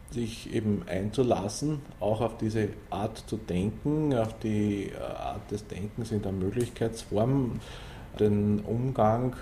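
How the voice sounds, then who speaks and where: Mellow low-voiced man over background noise. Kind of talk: thoughtful interview answer on literature. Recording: noisy ambient background.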